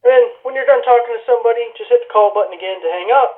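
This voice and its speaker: A man's voice: extremely high pitch, very fast. A man talking casually to viewers as he tests a product, heard through an intercom speaker. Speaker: product reviewer. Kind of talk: casual test talk to viewers. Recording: tinny, phone-like intercom speaker sound.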